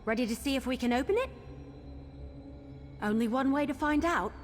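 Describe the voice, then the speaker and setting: A woman playing an eager, excitable young adventurer companion in a fantasy video game. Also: high voice, normal speed, slight background noise. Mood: curious, eager. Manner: exclamatory, eager, encouraging.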